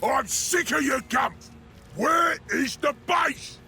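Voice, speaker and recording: A man playing a brutish, hot-tempered ork pilot boss in a video game, shouting gruffly. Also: extremely high pitch, expressive, slow pace, heavily acted. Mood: angry, blustering. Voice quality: gravelly, shouting. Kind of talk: video game character acting. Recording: loud game music behind the voice.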